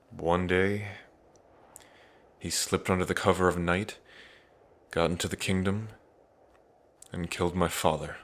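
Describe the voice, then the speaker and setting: A man playing an earnest, devoted dragon prince in an intimate ASMR roleplay, pausing between phrases. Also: sits low, a bit bright. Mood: bitter, sorrowful. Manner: slow, earnest, with long pauses between phrases. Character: lovestruck dragon prince, grieving, tender, devoted.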